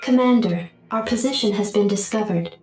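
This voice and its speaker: Woman as a computer alert voice, flat, very echoey, distant, music behind. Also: medium pitch, normal speed, lightly acted. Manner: flat, announcing.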